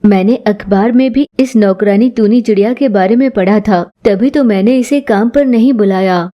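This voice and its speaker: A woman's voice: medium pitch, moderate pace, dull audio, lightly acted. A woman voicing an aloof, suspicious female pigeon employer with a disapproving manner in a cartoon story. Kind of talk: Hindi moral cartoon story acting.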